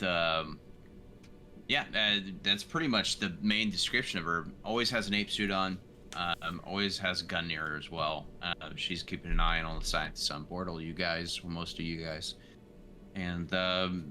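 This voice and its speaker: A man with a deep voice and a very sharp, bright edge takes part in a tabletop roleplaying game as a player. He describes his character in casual, conversational terms.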